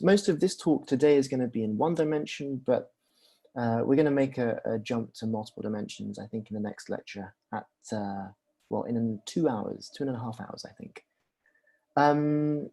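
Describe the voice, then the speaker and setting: Brief: young man, lecturer, easygoing and unscripted, mellow, warm tone, lots of "um". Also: medium pitch. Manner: relaxed, many ums and restarts, asks rhetorical questions. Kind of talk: conversational university lecture.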